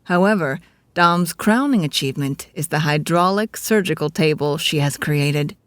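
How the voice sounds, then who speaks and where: A woman narrates an audio drama from a script. Her voice sits a little low, and she tells it like a mock-grand storybook. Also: normal speed, no echo.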